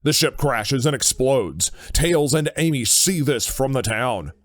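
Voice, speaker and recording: A man's voice: medium pitch, animated, a little slow, no echo, clean studio sound. A man reading scripted narration for an audio drama.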